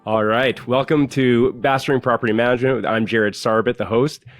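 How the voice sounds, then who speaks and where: A man's voice: medium pitch, steady tone, moderate pace, no room sound, faint music behind. A man hosting a podcast interview, asking rambling, hesitant questions full of fillers. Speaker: podcast host.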